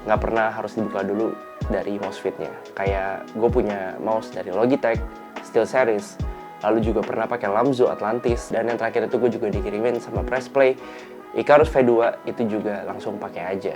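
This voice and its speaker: A young man talks through a product review in a relaxed, slangy way. His nose is clearly blocked, so the voice sounds stuffy and a little worn out. Light music plays behind him. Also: fairly low pitch, brisk. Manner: relaxed, chatty, slang-heavy delivery. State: tired and run-down. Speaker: tech reviewer hosting his channel.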